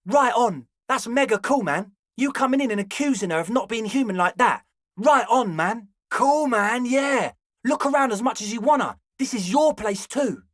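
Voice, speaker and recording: A male actor playing a young, excitable but laid-back club organiser in a video game, talking in earnest, slangy dude speak. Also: very high-pitched, no room sound, clean studio sound. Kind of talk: video game character dialogue.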